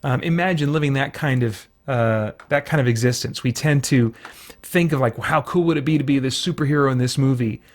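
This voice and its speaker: A man hosting an informal Bible study for an online audience, talking in a casual, halting way as he thinks aloud with frequent asides. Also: mid-range voice. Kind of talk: informal sermon, conversational Bible teaching.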